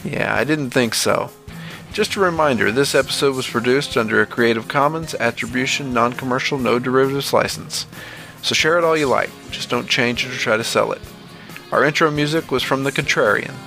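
Guy with a gravelly, creaky voice doing scripted horror podcast narration, music playing under him. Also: medium pitch, brisk, bright, forceful tone.

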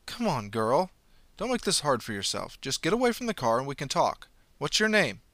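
A man's voice: mid-range voice, wildly swooping pitch, normal speed, dry recording. A man voicing a stern adult female police constable in an audiobook, firm and commanding. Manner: firm, commanding, shouts when chasing. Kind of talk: audiobook character voice.

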